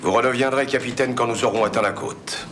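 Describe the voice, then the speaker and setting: An actor playing a shrewd, courteous British expedition leader in a French film drama, formal, clipped and authoritative. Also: male voice, mid-range voice, fairly even intonation, fairly quick, fairly bright tone, a little hiss or hum, lightly acted. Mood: commanding.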